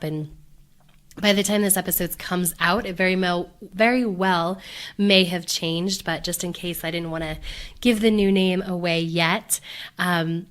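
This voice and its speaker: Podcast host lady, kinda low voice from a cold, rambly and upbeat, lots of um and you know. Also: a bit bright. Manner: chatty, upbeat, many fillers and restarts. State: deeper voice from a cold. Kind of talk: casual solo podcast talk.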